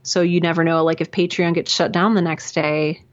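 A woman's voice: fairly low pitch, level tone, normal speed, highs cut off. A woman podcaster chatting in an interview, talking freely with lots of fillers and restarts. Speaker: podcaster and audio drama producer. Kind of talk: casual interview conversation.

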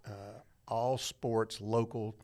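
A man's voice: on the low side, level tone, takes it slowly, a little mellow. A middle-aged man, a church media director, chatting as a podcast guest and rambling through his career story in a self-deprecating way. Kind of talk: casual interview storytelling.

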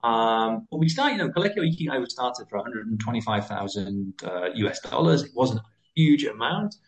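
A British-raised man, likely in his thirties, a school founder talking quickly as a podcast guest, with lively pitch and frequent restarts. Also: low-quality recording. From United Kingdom. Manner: fast, animated, many restarts. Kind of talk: interview conversation.

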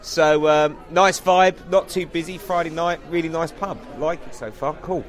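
A man's voice: a little high, steady tone, normal speed. A man hosting a podcast in casual pub chat. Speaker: podcast host. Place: out at a London pub.

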